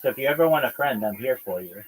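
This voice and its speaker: A man's voice: mid-range voice, lively intonation, racing, rough recording. A man hosting a livestream, chatting casually with a caller, reacting briefly and asking easy questions. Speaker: livestream host. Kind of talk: casual chat.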